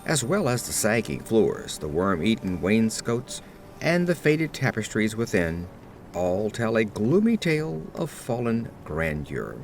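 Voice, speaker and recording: A man playing a melancholy aged nobleman who recounts his accursed life in formal, measured gothic horror narration. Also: lowish voice, big pitch swings, a little hiss or hum. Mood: somber, melancholy. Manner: formal, measured, literary storytelling. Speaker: narrator of an audio drama.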